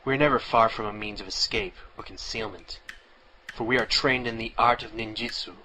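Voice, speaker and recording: A man's voice: lowish voice, a little fast, crowd noise, natural voice, poor audio. A man playing a proud teenage mutant turtle who narrates in a hard-boiled, terse, intense way for a fan voice comic. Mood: brooding. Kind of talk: dramatic comic-book narration. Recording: loud music under the voice. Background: loud dramatic music.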